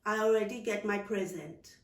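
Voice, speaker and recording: A woman voicing a sulky, earnest, naive little girl in a storybook, halting and childlike. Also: mid-range voice, natural voice. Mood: uninterested. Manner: halting, childlike, dialect grammar. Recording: roomy, distant microphone.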